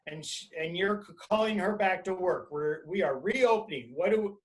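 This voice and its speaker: High-pitched man presenting a webinar. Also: animated, normal speed, poor audio. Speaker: employment attorney presenting a webinar. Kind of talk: explanatory webinar presentation with worked examples. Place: indoors, on a video conference call.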